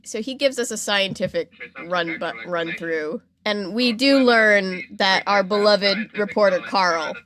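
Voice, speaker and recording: A woman's voice: medium pitch, measured pace, few pauses, crowd noise. A woman hosting a podcast, telling a story in a playful, informal, conversational way with casual asides. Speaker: podcast host.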